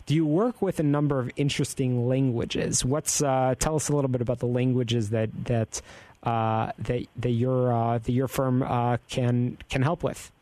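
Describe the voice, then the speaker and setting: A man's voice: medium pitch, steady tone, moderate pace, mellow, no echo. A man hosting a business radio show who asks long, rambling questions with joking asides. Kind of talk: casual radio interview.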